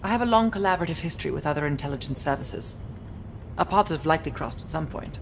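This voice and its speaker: A British woman intelligence officer in a video game cutscene. Her voice sits fairly low, formal and precise, with a measured, analytical temper. Clear ambient noise runs behind her. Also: animated. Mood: composed.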